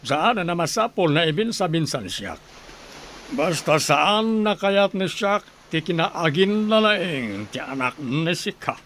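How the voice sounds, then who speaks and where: An actor playing a domineering, threatening wealthy master in a radio drama, with a Filipino accent. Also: male voice, a little high, very animated, measured pace, fairly bright tone, slight background noise. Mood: menacing, imperious.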